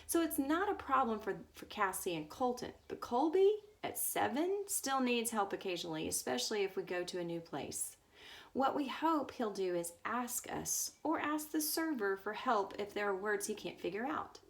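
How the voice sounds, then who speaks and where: A woman's voice: medium pitch, expressive, moderate pace. A woman reading a book aloud as a storyteller, now and then stumbling over words. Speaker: story reader.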